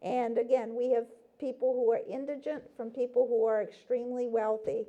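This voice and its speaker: A woman's voice: on the high side, level tone, moderate pace, warm tone, dull audio. A woman speaking as a talk show guest from a nonprofit, explaining things earnestly, with frequent "um"s and "you know"s. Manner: earnest, explaining, with many fillers. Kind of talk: casual interview chat.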